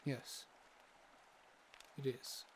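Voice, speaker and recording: A man playing a learned, easily exasperated doctor, narrating a fantasy audio drama in a measured, earnest way. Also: slight background noise. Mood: grave, measured. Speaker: narrator and lead character.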